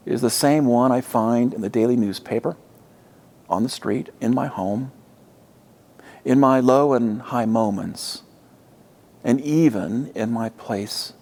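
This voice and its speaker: A male pastor delivers a reflective sermon in a fairly ordinary-pitched voice with a gravelly, creaky edge. His phrasing is measured and deliberate, and he leans on emphatic pauses between short thoughts. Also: darkish tone. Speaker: pastor preaching.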